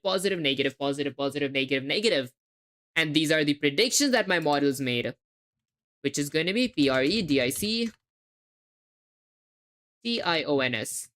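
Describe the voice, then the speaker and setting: Young woman, hybrid accent, lowish voice, live coding, spells things out, chats with viewers. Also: a bit bright. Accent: hybrid, hard to place. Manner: spells words letter by letter, chatty asides to chat. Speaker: livestreaming coder. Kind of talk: live coding stream, thinking aloud.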